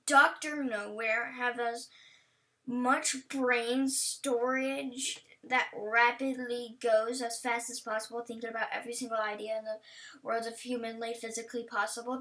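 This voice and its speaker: Female reaction host: high, bright voice, playful casual rambling, recorded a little far from the mic. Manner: rambling, playful, talks to the on-screen character.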